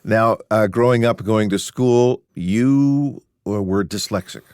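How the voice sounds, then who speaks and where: A man hosting a podcast, asking his guest relaxed, friendly questions. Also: on the low side, slow pace, clean tone, dry recording, studio quality. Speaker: podcast host. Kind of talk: casual interview.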